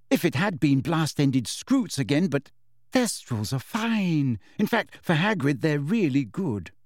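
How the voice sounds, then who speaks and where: A man plays a clever teenage schoolgirl in an audiobook. Her voice is raised in pitch, earnest and emphatic, as one quick to argue. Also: no room sound, clean studio sound.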